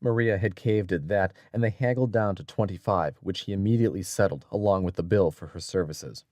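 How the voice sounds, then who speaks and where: A man's voice: sits low, fairly quick, darkish tone, dry recording, clean studio sound. A man narrating a dramatic audiobook from a script as a storyteller.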